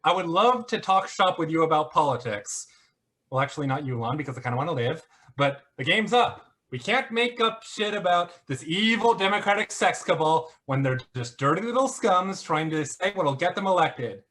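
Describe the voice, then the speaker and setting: A man's voice: fairly high pitch, animated, normal speed, pushed and bright. A man playing a smug, flippant internet conspiracy grifter who mocks theatrically in a one-act play. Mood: cynical, flippant. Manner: sarcastic, mocking, theatrical.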